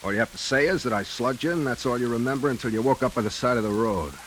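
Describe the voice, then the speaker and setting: A man's voice: medium pitch, fast talker, a bit bright, natural voice, low-quality recording. A male actor playing a hard-boiled, world-weary bank robber with a decent streak, terse and slangy in 1940s radio noir style. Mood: wry, calm. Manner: terse, slangy tough-guy talk. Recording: hissy 1940s radio recording, rain behind.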